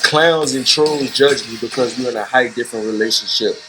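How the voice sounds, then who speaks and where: A man's voice: medium pitch, normal speed, low-quality recording. Defensive man with music behind. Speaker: interviewee in a video clip. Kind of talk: recorded interview.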